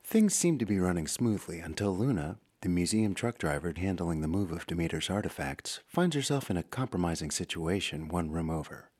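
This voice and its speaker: A man delivers scripted narration for an audio drama as a dramatic announcer. His voice is low and husky, with lively swings in intonation. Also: fairly quick, hardly any pauses. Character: dramatic storytelling male narrator.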